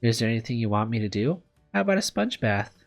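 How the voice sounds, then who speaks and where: A man voicing an affectionate, devoted high school girl from a visual novel, earnest and exclamatory. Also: big pitch swings, quick pace, gravelly, soft background music. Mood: eager, surprised.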